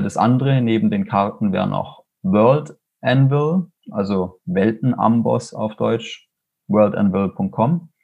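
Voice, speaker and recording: A man's voice: lowish voice, creaky, warm tone, slightly rough. A man with a Swabian accent, a fantasy author speaking casually and enthusiastically in an interview, rambling with fillers and restarts. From Swabia, Germany.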